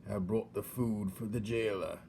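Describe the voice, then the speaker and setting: A man voicing a grumbling, solemn old male jailer in a storybook, who speaks gravely of himself in the third person. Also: on the low side, fairly even intonation, normal speed, mellow, a little hiss or hum.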